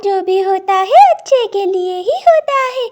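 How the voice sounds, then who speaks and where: A woman voicing a gentle, earnest young mother bird in a cartoon, on a noisy track. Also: very high-pitched, lively intonation, measured pace. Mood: kind, reassuring. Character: kind, gentle young mother bird, poor watermelon seller.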